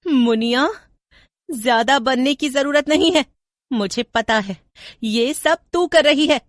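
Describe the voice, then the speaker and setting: A woman's voice: high-pitched, slow pace, no room sound, studio quality, voice pushed up. A woman voicing a young daughter-in-law, urgent and dramatic, worried yet defiant, in a horror story.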